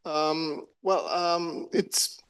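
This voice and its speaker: Man with a gravelly voice. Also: fairly high pitch, steady tone, extremely slow, fairly bright tone. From Romania. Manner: wry, lightly joking. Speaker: association president. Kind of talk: self-introduction on a panel.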